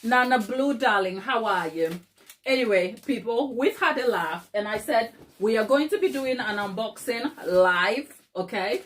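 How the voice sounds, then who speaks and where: A woman's voice: a little high, animated, measured pace, a bit bright. A middle-aged woman from Ghana hosts a baking live stream. She is chatty and laughs easily, turning to her viewers often as she talks. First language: Twi. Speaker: cake decorating live-stream host. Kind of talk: casual live-stream chat and tutorial.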